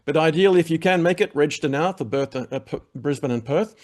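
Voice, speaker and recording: A man's voice: a little high, fast, darkish tone. A man hosting a web TV interview, wrapping up with upbeat, rambling plugs full of fillers. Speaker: web TV show host. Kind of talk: interview wrap-up with audience plugs.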